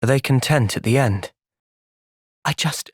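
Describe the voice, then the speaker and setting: A man with a British accent playing a thoughtful, gentle young soldier in video game cutscenes, measured and earnest. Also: medium pitch, husky, dry recording, clean studio sound. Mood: quiet, pensive.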